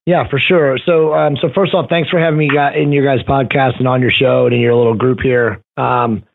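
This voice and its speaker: A man's voice: mid-range voice, fairly even intonation, fairly quick, vocal fry, a little hiss or hum. Man in his forties over a tinny phone line. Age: 40s. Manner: rambling, many fillers and restarts. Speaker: podcast guest. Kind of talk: casual interview talk.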